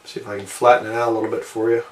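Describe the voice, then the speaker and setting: A man's voice: fairly low pitch, little pitch movement, very fast, distant mic, a little hiss or hum. Man reading aloud in an echoey room. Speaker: video host reading and commenting on an old newspaper. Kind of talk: informal commentary mixed with reading aloud. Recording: roomy, set back from the mic.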